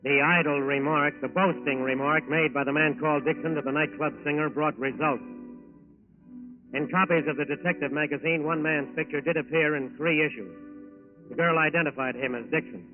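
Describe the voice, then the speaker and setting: High-pitched, emphatic American male radio narrator. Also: fairly even intonation, fairly quick. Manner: emphatic, repeating phrases. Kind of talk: dramatic scripted 1940s radio crime narration. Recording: tinny 1940s radio recording with faint music.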